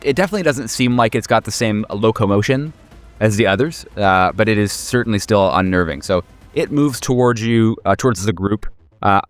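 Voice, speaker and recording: Smooth-voiced man running a tabletop game. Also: on the low side. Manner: hesitant, halting, searching for words; wry, playful, slangy. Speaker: game master of a horror tabletop podcast. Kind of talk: casual improvised tabletop game narration.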